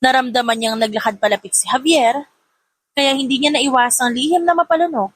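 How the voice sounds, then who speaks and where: A woman's voice: high-pitched, a little fast. A woman narrating a scripted romance story as an audiobook reader.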